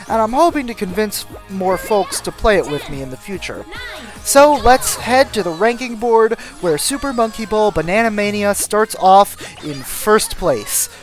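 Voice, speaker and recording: A narrator reading a scripted video game review as the host, with dry, self-deprecating humour. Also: medium pitch.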